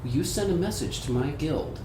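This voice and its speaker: A man's voice: a little high, lively intonation, fairly quick. A man playing a tabletop game, casually describing what his character says and does. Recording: echoey room, slightly distant, faint noise.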